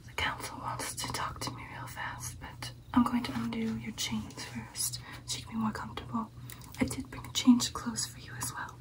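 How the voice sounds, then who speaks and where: A young woman playing a tender, powerful vampire girlfriend in an ASMR roleplay, with a soft, breathy, deliberate delivery. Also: fairly high pitch, completely flat delivery, distant mic, natural voice, poor audio. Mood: tender, grateful. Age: young adult. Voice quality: breathy whisper. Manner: soft, intimate, reassuring, deliberate. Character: tender, powerful female vampire girlfriend addressing her coven.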